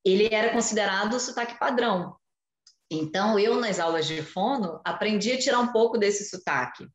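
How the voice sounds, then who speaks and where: A woman in her forties, a journalist guest, explaining in a lively way during a video-call interview from home. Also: medium pitch, fairly quick. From Brazil. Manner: animated, explanatory, gestures while talking. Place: home room with shelves. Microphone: video call webcam. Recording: echoey room on video call.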